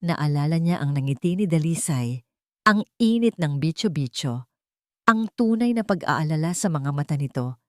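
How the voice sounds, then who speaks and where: A woman with a Filipino accent narrates a scripted story in a measured, sentimental, dramatic way. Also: deep voice, animated, no echo, studio quality. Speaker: narrator of a romance story channel.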